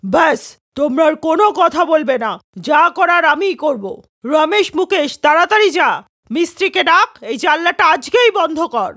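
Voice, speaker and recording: A woman's voice: very high voice, expressive, normal speed, fairly bright tone. A woman voicing an exasperated, bossy mother-in-law in a cartoon, scolding and commanding. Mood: angry, commanding.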